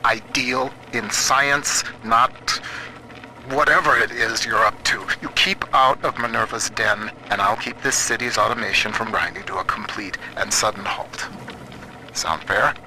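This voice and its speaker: An actor playing a shrewd, principled American computer scientist in a video game, middle-aged, wry, measured and plain-spoken. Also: male voice, lowish voice, steady tone, background noise, natural voice. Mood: wry, defiant. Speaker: guide character. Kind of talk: video game voice acting, audio diaries and radio guidance.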